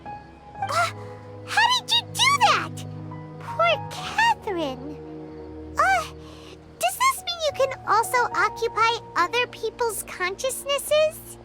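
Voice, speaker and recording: A tiny, excitable fairy girl from a video game, with an extremely high squeaky voice. Her exclamatory, indignant delivery swoops up and down constantly, over background music. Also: takes it slowly, pauses often, full character voice. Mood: surprised, suspicious. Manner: speaks of herself in third person, exclamatory. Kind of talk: animated video game voice acting.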